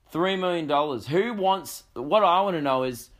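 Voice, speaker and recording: A young Australian man hosting a podcast, talking in a croaky voice with a sweary, jokey, rambling manner. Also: on the high side, fairly bright tone. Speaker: podcast host. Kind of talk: casual comedic monologue.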